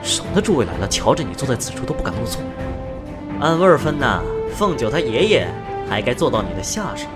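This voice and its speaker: An actor playing a chatty, teasing, genial immortal in an audio drama, with a playful, wry manner. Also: male voice, mid-range voice, big pitch swings, brisk, natural voice. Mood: amused, wry. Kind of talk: Chinese audio drama acting. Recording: music behind the voice.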